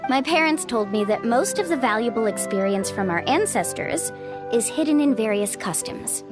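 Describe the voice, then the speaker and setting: A woman's voice: on the high side, animated, normal speed, lightly acted. An actress voicing a caring young woman who leads her group as their guide in a video game, reassuring and upbeat. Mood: reflective. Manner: warm, reassuring, leader-like. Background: game music.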